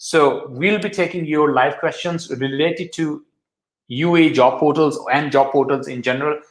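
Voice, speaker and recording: A man's voice: on the high side, steady tone, normal speed. A man, a guest career adviser, explaining job searching in English with fillers and restarts. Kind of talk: live career talk show. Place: office with big windows, indoors. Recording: roomy office echo.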